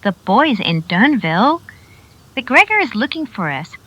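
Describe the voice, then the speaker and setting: A woman's voice: fairly high pitch, measured pace, highs cut off, heavily acted. A woman playing an anxious, emotional young frontier mother in a western radio drama. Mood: frantic, worried. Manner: emotional, expressive.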